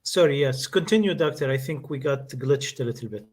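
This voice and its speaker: A man moderating an online talk, giving formal speaker introductions in a measured, earnest way over a video call. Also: medium pitch, darkish tone. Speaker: webinar moderator.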